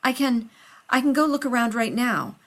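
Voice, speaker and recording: A woman's voice: fairly high pitch, lively intonation, normal speed, fairly bright tone, voice pushed up. A woman reading a story aloud, voicing a fussy, rambling male scientist who talks over others. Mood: flustered.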